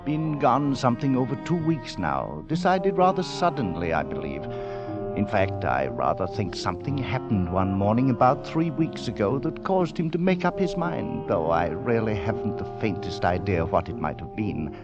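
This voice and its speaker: Low, formal valet. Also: male voice, big pitch swings, moderate pace. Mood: composed, respectful. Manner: formal, precise, servile. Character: proper, formal, discreet male English valet. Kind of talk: 1940s radio drama acting. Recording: muffled 1940s radio with music.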